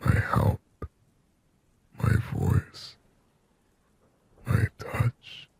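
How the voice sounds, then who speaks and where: A man voicing a lonely, possessive demon in a slow, intimate ASMR roleplay, whispering breathily and raspily close to the microphone with halting, brooding phrasing. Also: a little mellow. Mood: seductive pleading. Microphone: very close, dry microphone.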